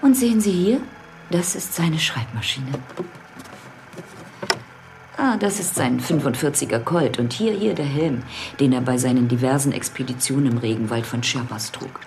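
An actress playing a proud elderly widow, reverently and emphatically guiding guests in a radio drama. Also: female voice, extremely low pitch, animated, moderate pace, over music. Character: proud elderly widow showing her late writer husband's house. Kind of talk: radio drama acting as a house tour.

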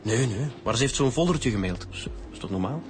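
Evasive Flemish male suspect, played by an actor, medium pitch, hesitant, radio drama over music. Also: expressive, fairly quick, lightly acted. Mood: defensive. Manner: hesitant, defensive. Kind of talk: naturalistic radio drama acting.

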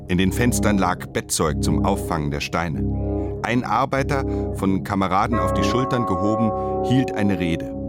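Deep-voiced man narrating a radio drama. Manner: clipped, terse sentences.

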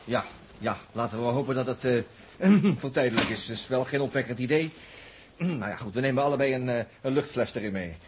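An actor playing an excitable, wry crewman with halting, colloquial speech in a 1960s Dutch radio drama. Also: medium pitch, natural voice. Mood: excited, uneasy. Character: excitable, wry, self-blaming spaceship crewman. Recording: noisy old 1960s radio recording.

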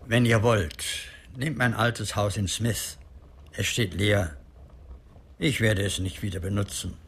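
An actor playing an old, solemn, gentle medicine man and grandfather in a radio drama, with a husky voice. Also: on the low side, fairly even intonation, slow, a little hiss or hum. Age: older.

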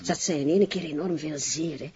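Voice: medium pitch, brisk, darkish tone, highs cut off, close to an everyday voice. An actor playing a chatty, familiar junior police colleague with a Flemish accent in a TV drama. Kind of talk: naturalistic TV drama dialogue.

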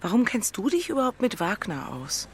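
A woman's voice: mid-range voice, sing-song, a little fast, breathy edge. An actress playing Senta, an impulsive, bookish single city woman, teasing and blunt, in a literary audio drama. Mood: curious.

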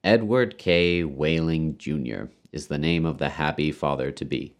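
Man acting a sullen, low-voiced despairing father. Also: a little slow. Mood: wretched. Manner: flat, taut, sulky. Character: despairing, sullen, frowsy new father, bitterly sarcastic.

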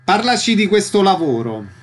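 Man, fairly high voice, radio host, concise interview questions and announcements. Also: big pitch swings, normal speed.

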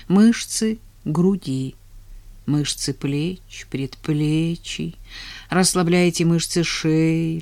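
A woman leading a guided relaxation and affirmation session in a calm, measured, soothing manner. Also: low voice. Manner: slow, soothing, measured delivery. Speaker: relaxation and vision trainer.

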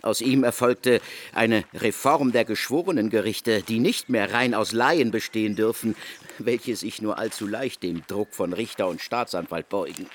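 An actor playing a pompous, probing criminologist in a German radio drama, speaking in an emphatic, lecturing and insistent way. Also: male voice, on the low side, moderate pace, a little hiss or hum, close to an everyday voice. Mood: accusing, insistent. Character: pompous, probing male criminologist interrogating a convicted killer.

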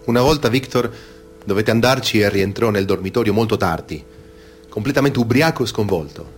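A man's voice: on the low side, a little fast, soft background music, lightly acted. A man reading scripted testimony and narration in speech for a documentary radio play. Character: male and female witnesses recounting abuse by priests.

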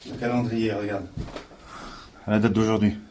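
An actor playing a wry, cautious police partner in naturalistic audio fiction, with dry, deadpan teasing. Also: male voice, fairly low pitch, normal speed, lightly acted. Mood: concerned. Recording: roomy echo, clear background noise.

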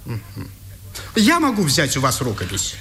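An actor playing a brisk, courteous newspaper editor in a 1950s radio drama. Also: male voice, mid-range voice, huge pitch swings, pushed and bright, full character voice. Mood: businesslike, kindly.

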